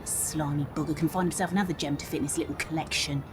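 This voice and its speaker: A woman's voice: deep voice, fairly even intonation, quick pace, music in the background, close to an everyday voice. A woman voicing a brash, plucky young English boat captain in a cartoon game, feisty and self-assured. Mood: nervy resolve. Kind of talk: scripted cartoon game acting.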